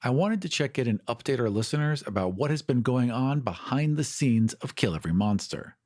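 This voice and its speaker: A man's voice: medium pitch, darkish tone. A man hosting a podcast, reading a scripted announcement to listeners. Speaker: podcast host.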